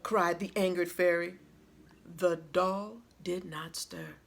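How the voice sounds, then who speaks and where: A woman reading a children's story aloud like a teacher, with dramatic, deliberate phrasing. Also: lowish voice, fairly even intonation.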